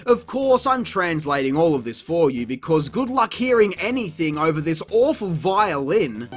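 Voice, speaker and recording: A man's voice: a little high, very animated, normal speed, faint music behind. A man narrating a scripted comedic review in a sarcastic, crude, mocking manner. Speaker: video reviewer.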